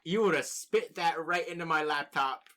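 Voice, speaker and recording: A man's voice: fairly high pitch, moderate pace, sharp tone. A young man from the United States, co-hosting a casual podcast chat, rambling with restarts and plenty of swearing. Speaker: podcast co-host.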